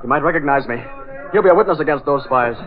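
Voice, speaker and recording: An actor in 1940s radio style playing a tough, confident masked crime fighter. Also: male voice, fairly high pitch, lively intonation, fast, music in the background, close to an everyday voice. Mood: brisk, confident. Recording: muffled 1940s radio fight scene, noisy.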